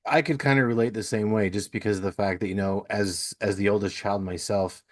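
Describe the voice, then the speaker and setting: Lowish-voiced man, casual podcast host. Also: fairly even intonation, brisk. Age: 30s. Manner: affirming, repeats and rephrases himself. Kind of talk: casual conversational interview.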